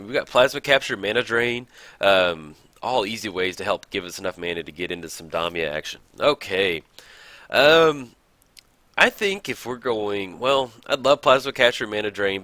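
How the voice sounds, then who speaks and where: Deep-voiced man mulling over card plays. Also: dry recording. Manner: thinks aloud with many fillers, counts mana out loud. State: a bit raspy from recording in the morning. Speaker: card game video host. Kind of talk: casual gameplay commentary.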